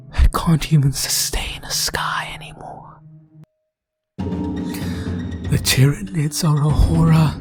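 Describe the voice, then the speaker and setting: A man playing an ominous, grandiose narrator who warns a traveler of horrors in theatrical fantasy audio drama, with drawn-out melodramatic phrasing and hushed whispers. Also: on the high side. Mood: scornful, dread, then horrified, grandiose. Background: music.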